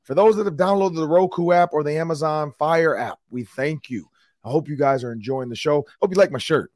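A middle-aged man hosting a sports podcast, talking casually in a rambling way, his voice a little raspy from shouting. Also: on the high side, brisk, no room sound, clean studio sound. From Minnesota, US. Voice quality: slightly raspy. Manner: chatty, rambling with fillers and restarts. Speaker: podcast host.

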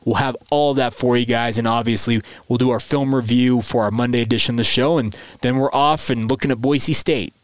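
A man's voice: mid-range voice, fairly even intonation, a little fast, hardly any pauses, no echo. American man hosting a sports podcast, dry sound. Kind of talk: conversational solo sports commentary.

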